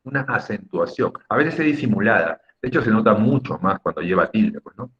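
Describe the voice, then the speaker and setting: A man's voice: fairly low pitch, lively intonation, a little fast, fairly bright tone, dull audio. A man teaching a class in an emphatic, didactic way, repeating key words and checking in with short tag questions. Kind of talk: Spanish lesson on accent rules for students.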